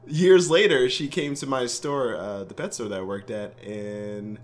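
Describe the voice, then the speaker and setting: A man's voice: medium pitch, steady tone, measured pace. A man co-hosting a podcast, telling a rambling, self-deprecating dating story in casual chat and laughing as he goes. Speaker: podcast co-host.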